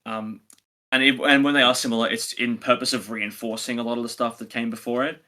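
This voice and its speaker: A man's voice: mid-range voice, flat delivery, brisk, bright, forceful tone. A man co-hosting a review podcast, talking casually and conversationally as he gives his opinions.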